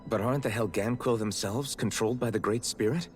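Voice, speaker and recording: An actor voicing a measured, level-headed male party member in a game. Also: on the low side, lightly acted. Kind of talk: video game voice acting. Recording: game audio with music.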